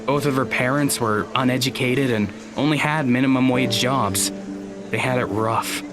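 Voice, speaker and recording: An actor playing an awkward, polite teenage high school boy in an audio drama, speaking hesitantly with small stammers. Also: normal speed, music in the background, lightly acted. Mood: explanatory, apologetic. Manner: hesitant, stammering, nervous chuckles.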